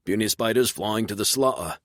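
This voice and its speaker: A man's voice: lowish voice, brisk, fairly bright tone, dry recording, studio quality, natural voice. A man voicing a curt, laconic male orc. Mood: grim.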